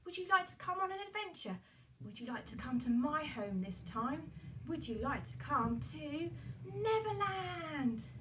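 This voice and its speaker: Woman playing an excitable high-pitched adventurous boy. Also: a little slow, far from the mic, slight background noise, poor audio. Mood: eager, inviting. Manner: excitable, whooping, encouraging. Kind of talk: children's puppet-show storytelling.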